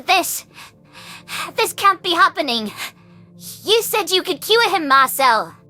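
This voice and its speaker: A woman acting an anxious alien insect mother for a sci-fi audio drama. Her voice sits high and bright, pleading and apologetic, with music playing underneath. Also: very animated, takes it slowly, full character voice. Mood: distraught.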